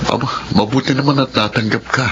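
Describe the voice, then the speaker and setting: An actor playing a concerned, measured older uncle in a Filipino radio drama. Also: male voice, mid-range voice, fairly even intonation, dull audio, natural voice. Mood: kindly. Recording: noisy radio drama recording.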